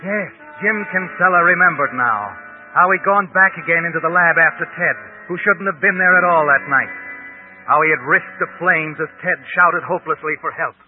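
A man acts out a mysterious male night-walker narrating dark radio tales. His voice sits fairly high, with an ominous, deliberate delivery. The old broadcast recording is very thin, with clear music behind it. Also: narrow, tinny audio, natural voice. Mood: tense, dramatic.

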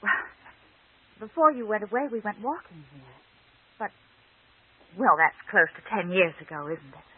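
An actress playing a wistful, doubtful young woman in an old radio drama, speaking softly and tentatively. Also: medium pitch, very animated, moderate pace, pauses often, lightly acted. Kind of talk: 1940s radio acting. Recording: tinny old radio recording.

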